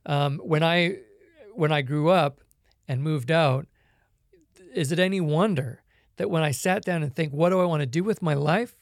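A Canadian man, a podcast guest, telling his life story in a relaxed, reflective way with many small pauses. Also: a little high, dry recording, clean studio sound. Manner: reflective storytelling with frequent ums. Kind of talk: conversational interview.

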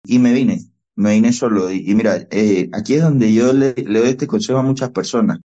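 Venezuelan male guest, young adult, low mellow voice, lively storyteller, lo-fi podcast audio. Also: normal speed. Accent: Venezuelan Spanish. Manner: animated storyteller, reenacts dialogue. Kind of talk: storytelling interview.

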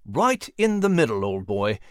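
A refined mouse gentleman, acted out by a male narrator, lectures with courteous, professorial polish. The delivery is very lively and swooping, in a storybook style, recorded close and dry. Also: medium pitch, a little slow, no echo, voice pushed down. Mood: genial, explaining. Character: refined, bespectacled British gentleman mouse, scholarly and polite.

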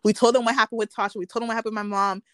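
A woman's voice: a little high, racing, no echo, clean studio sound. A young woman telling a story in casual chat, acting out what people said, with plenty of slang. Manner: animated storyteller, acts out quotes, slangy.

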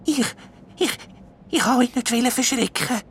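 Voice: medium pitch, lively intonation, moderate pace, a bit bright, a little hiss or hum, voice pushed up. A storyteller voicing a shy purple elf who explains things hesitantly but eagerly.

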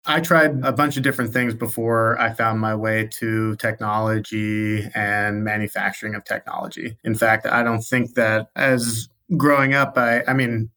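A man's voice: on the low side, flat delivery, moderate pace, few pauses, sharp tone. A man from Baltimore answering interview questions in a reflective way, often restarting his sentences. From Baltimore, Maryland. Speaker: guest.

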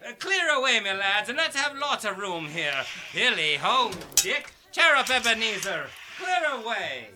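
An actor playing a jovial, portly old merchant in a radio play, calling out in hearty exclamations. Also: male voice, sits high, sing-song, a little slow, few pauses, background noise. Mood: jolly, rallying. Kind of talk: theatrical radio play acting.

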